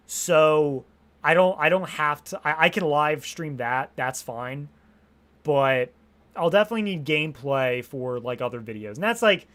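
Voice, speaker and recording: A young man chatting casually on a live stream, rambling and restarting his sentences, swearing freely, and a little hoarse after hours of talking. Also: on the high side, a bit bright. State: slightly hoarse from long streaming.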